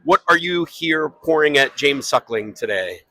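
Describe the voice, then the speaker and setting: A man's voice: fairly high pitch, expressive, a little slow, bright, forceful tone, no echo. A man hosting a podcast, chatting with winemakers on site at a wine tasting with upbeat questions. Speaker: podcast host. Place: busy indoor tasting event.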